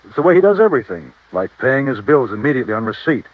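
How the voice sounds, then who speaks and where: Friendly old-time radio banker, folksy, medium pitch, dry tinny recording. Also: male voice, very animated, fast talker, dry recording. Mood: earnest, defensive. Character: genial, loyal male bank cashier, old friend. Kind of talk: 1950s radio acting.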